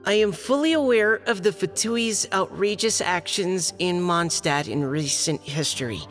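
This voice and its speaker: A man's voice: high-pitched, a bit bright. An actor playing an earnest young male knight who reads a formal letter aloud with care and halts when flustered. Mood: steady reading. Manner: careful, formal reading, halting when flustered. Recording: game cutscene with reverb and music.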